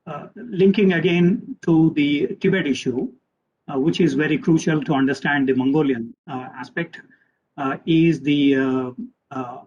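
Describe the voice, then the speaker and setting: A man moderating a panel discussion, polite and hesitant, with heavy use of fillers between words. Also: on the high side. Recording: dull lo-fi call audio.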